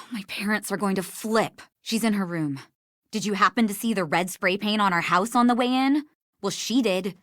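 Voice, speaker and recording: A woman's voice: medium pitch, moderate pace, no room sound, studio quality, close to an everyday voice. A woman playing a prim, serious young woman in a video game, speaking with pointed, exasperated disapproval.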